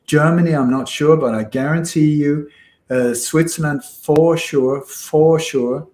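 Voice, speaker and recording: A man's voice: on the high side, a little slow, darkish tone. A man from Denmark and Sweden with a Scandinavian accent, explaining at length in an interview and repeating phrases for emphasis. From born in Denmark, grew up in Sweden. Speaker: interview guest. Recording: echoey room sound.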